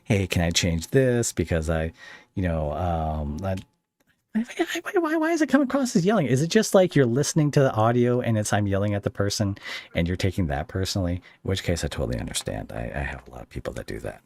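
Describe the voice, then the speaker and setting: A man's voice: sits low, huge pitch swings, fairly quick, creaky, darkish tone. A man hosting a podcast in a casual interview style, talking in a hesitant, rambling way. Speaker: podcast host.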